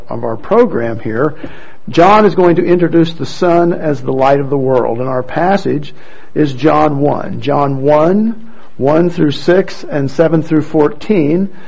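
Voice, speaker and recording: A man introducing scripture readers at a church program, speaking in a relaxed way with light asides, on a muffled recording. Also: on the high side, creaky. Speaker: worship leader introducing readers. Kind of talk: church service emcee.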